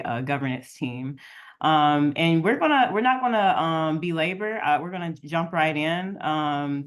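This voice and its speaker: A woman from the United States moderating an online roundtable informally, speaking haltingly as she thinks aloud. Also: low-pitched. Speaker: roundtable moderator, community organizer. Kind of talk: informal hosting remarks. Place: online video call.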